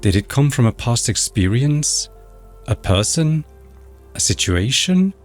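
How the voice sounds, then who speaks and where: A man narrating a guided meditation in a measured, instructional, scripted way. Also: medium pitch, big pitch swings. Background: background music.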